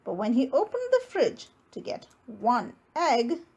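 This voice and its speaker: Female storybook reader: high-pitched, very lively intonation, unhurried, dramatic emphasis for young listeners. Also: a little hiss or hum. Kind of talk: expressive children's read-aloud narration.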